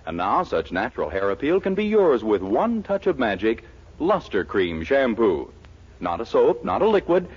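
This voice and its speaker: A man's voice: mid-range voice, husky. A man working as a radio show announcer, reading scripted sponsor copy in a polished, salesman-like 1940s style. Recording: dull 1940s radio broadcast recording.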